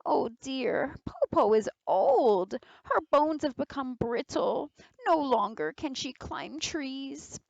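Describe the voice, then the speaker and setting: A sly old wolf acted out by a woman storyteller poses as a feeble granny. The voice is high and unhurried, swinging in pitch as it coaxes with put-on frailty, like a storybook villain. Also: big pitch swings, vocal fry, darkish tone, no echo, lo-fi sound, exaggerated voice. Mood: feigned weariness. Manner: coaxing, feigned frailty. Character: cunning old wolf posing as a feeble grandmother.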